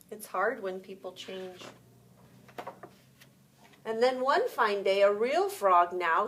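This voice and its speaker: A woman preschool teacher reading a story aloud to young children, pausing to explain words simply and ask questions. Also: medium pitch, fairly bright tone, slight background noise.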